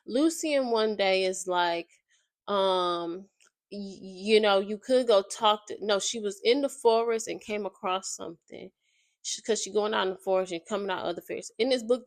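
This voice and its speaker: A woman's voice: mid-range voice, normal speed, no room sound, studio quality. Congested, slightly nasal woman chatting. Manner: chatty, loose and conversational, often searching for words. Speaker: YouTuber summarizing a book while playing a game. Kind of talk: casual rambling summary.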